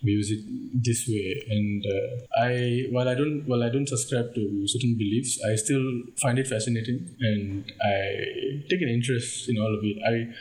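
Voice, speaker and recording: A man's voice: medium pitch, normal speed, hardly any pauses, darkish tone, rough recording. A young man with a Malaysian Indian English accent, co-hosting a casual podcast chat and musing thoughtfully, laughing often. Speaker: podcast co-host.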